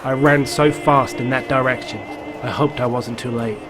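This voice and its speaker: A man's voice: medium pitch, steady tone, moderate pace, natural voice. Actor as a grim, haunted father narrating over music. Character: haunted middle-aged father recounting horror, unstable and guilt-ridden. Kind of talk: dramatic first-person story narration.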